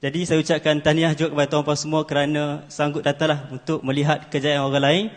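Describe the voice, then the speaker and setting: A man's voice: on the high side, flat delivery, quick pace. A man in his twenties giving an earnest speech at an award ceremony, with many hesitant fillers. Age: 20s. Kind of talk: formal stage speech. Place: large ceremony hall. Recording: hall reverb.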